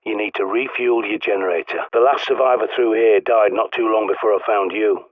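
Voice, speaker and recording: An actor playing a gruff, bossy British survivalist who guides a player by radio, speaking bluntly and swearing freely. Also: male voice, lowish voice, fairly even intonation, fairly quick, no room sound. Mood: dry, scornful. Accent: British English. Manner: barking orders over a radio. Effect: radio filter. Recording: tinny dry radio-line sound.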